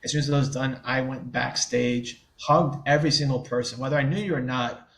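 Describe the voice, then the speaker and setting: A man's voice: medium pitch, fairly even intonation, a little fast, fairly bright tone. Man who stutters, candid and easygoing. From New Orleans area. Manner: stutters, with blocks and repeated sounds. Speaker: guest. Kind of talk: podcast interview.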